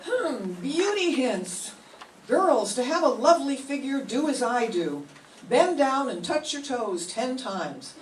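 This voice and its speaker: A woman's voice: sits high, sing-song, takes it slowly, far from the mic, slight background noise, exaggerated voice. An older woman playing Jane, a wry, sensible young woman, in a staged 1940s radio comedy reading. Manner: dry, sarcastic. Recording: roomy hall, heard from afar.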